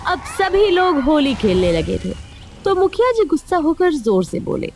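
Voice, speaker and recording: A woman's voice: high voice, sing-song, moderate pace, a little mellow. A woman narrating a scripted cartoon moral story as a storyteller.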